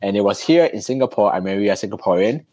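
A man's voice: fairly low pitch, fast talker, no room sound. A man, chatting loosely as a podcast guest. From Hong Kong, raised in Canada. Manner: relaxed, loose, with fillers and restarts. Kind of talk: conversational storytelling.

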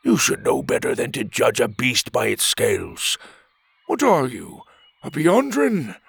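A man's voice: mid-range voice, wildly swooping pitch, unhurried, vocal fry, fairly bright tone, husky, dry recording, full character voice. A man voicing a grumpy old blind lizard. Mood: indignant. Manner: proud, snarling, indignant.

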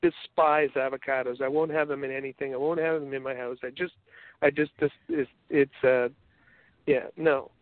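A man's voice: medium pitch, fairly even intonation, no room sound. Rambling Canadian man on a tinny line. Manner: rambling, halting with many restarts. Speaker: podcast co-host. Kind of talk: casual chat.

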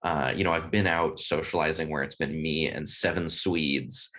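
An American man, a podcast guest, talking casually and thoughtfully in an interview, often pausing with "uh" and "you know". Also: very deep voice, fairly even intonation, sharp tone. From United States. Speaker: podcast guest, game writer.

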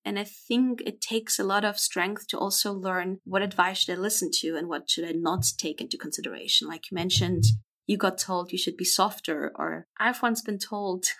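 A woman's voice: fairly low pitch, a bit bright. A woman in her twenties, a podcast guest talking frankly and reflectively in a casual interview. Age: 20s.

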